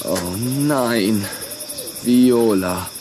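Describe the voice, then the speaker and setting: Bored schoolboy for a radio play, sarcastic and sulky, slow delivery. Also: male voice, medium pitch, background noise. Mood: dismayed. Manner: grumbling, sarcastic. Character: schoolboy Anton, grumpy and bored.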